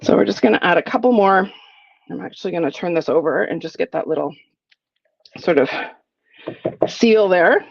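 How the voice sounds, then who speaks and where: A woman's voice: lowish voice, normal speed, creaky, pauses often, no room sound, dull audio. A woman in her forties, a little sniffly, giving a chatty live crafting tutorial from her home craft room and thinking aloud as she works. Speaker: crafting demonstrator.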